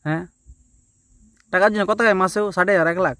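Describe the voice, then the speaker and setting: A man's voice: sits high, fast, bright, forceful tone, dry recording. A young man with a rural western Bengali accent from Purulia, pleading and arguing insistently and melodramatically on a casual prank phone call, recorded outdoors. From West Bengal, India. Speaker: prank caller.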